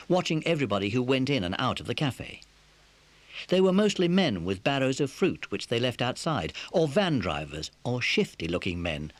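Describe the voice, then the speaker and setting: Lively, animated male storyteller. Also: mid-range voice, no room sound. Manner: expressive storytelling. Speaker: narrator. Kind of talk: scripted children's story narration.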